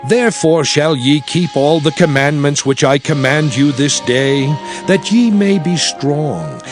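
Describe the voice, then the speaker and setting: A man playing a solemn prophet exhorting his people in a measured, declamatory scripture drama. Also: medium pitch. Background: music.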